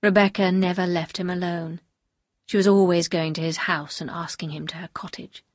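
A woman voicing a bitter, anguished upper-class husband in an audiobook, speaking gravely and confessionally. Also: fairly low pitch, fairly even intonation, normal speed, no room sound, studio quality, pitched below the performer's own voice, natural voice. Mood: grave confession. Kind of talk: audiobook character dialogue.

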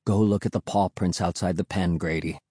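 A man voicing a calm, grim and firm father in an audiobook story, speaking in a quiet, measured way. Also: low-pitched, slightly rough, dry recording, studio quality, pitched below the performer's own voice.

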